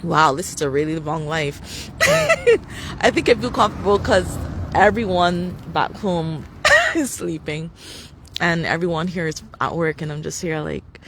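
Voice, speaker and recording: A young Barbadian woman talks casually into her phone on a live stream, full of ums and restarts, with a gravelly edge to her voice. She is outdoors on a street, and a faint hum of traffic sits behind her. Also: on the low side, sing-song, a little slow, a bit bright. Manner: rambling, many fillers and restarts, laughs often. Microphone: phone camera.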